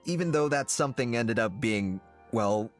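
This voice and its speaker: A man's voice: mid-range voice, lively intonation, normal speed, faint music behind, close to an everyday voice. A man playing an earnest kitchen cook. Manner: earnest, reassuring. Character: loyal male kitchen staffer. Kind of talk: video game voice acting.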